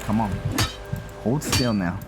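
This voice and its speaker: A man giving casual gameplay commentary, thinking aloud in a rambling, halting way. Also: on the low side, loud music behind the voice. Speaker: gameplay video host and role-player. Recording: clear background noise, game ambience. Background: noise and video game ambience.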